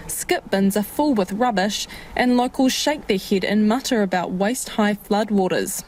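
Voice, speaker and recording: A woman with a New Zealand accent reads a scripted news report. Her delivery is steady and measured, her pitch in the middle. A faint noise sits under the recording. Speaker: radio reporter.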